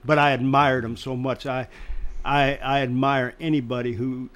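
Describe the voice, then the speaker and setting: An older man from Chicago telling baseball stories in casual conversation, animated and laughing as he goes. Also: mid-range voice, moderate pace. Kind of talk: casual storytelling.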